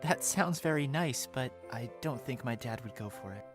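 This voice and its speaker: A young man acts a dutiful, soft-hearted teenage son in a fan audio drama. His voice sits at a middle pitch, polite and subdued, with an earnest ache. Music plays clearly behind him. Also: lively intonation, normal speed, natural voice. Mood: downcast.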